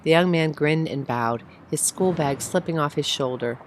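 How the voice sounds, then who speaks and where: Female storybook narrator, deep register, reading aloud with faint background noise. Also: normal speed. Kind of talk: scripted short-story narration, read aloud.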